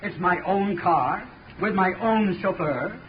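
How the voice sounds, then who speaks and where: Blustering older gentleman, high voice. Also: slow pace. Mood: indignant. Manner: imperious, indignant, sputtering. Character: stubborn, blustering older wealthy father with a weak heart. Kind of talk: 1930s radio drama acting. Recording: noisy, muffled 1930s radio recording.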